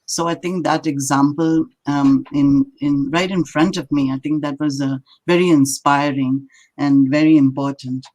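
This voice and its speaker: An older woman from India with an Indian accent, a native Marathi and English speaker, telling stories as an interviewed poet in a thoughtful, halting, lighthearted way. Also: deep voice, steady tone, a little mellow, rough recording. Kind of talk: reflective conversational storytelling.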